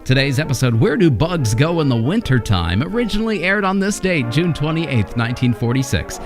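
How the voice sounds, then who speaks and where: A man's voice: a little high, sing-song, fairly quick. A man giving scripted narration as the presenter of a radio history programme. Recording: voice-over with music.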